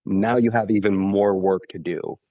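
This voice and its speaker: A man with a very low voice, congested and sniffly after the flu, talks through running injuries at an easy, patient pace. He keeps checking in with "okay?" and fills his sentences with "like". The sound is dry and close to the mic. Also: steady tone, normal speed, warm tone, no room sound. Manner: explains patiently, with many "like" and "okay?" check-ins. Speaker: podcast guest, running injury expert. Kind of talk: casual explanatory podcast talk.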